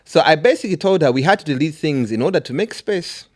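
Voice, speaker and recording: A man's voice: a little high, fast talker. A man hosting a podcast, chatting casually with guests, laughing often and reading out a viewer's letter. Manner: animated, laughs often, reads the letter aloud. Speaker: podcast host.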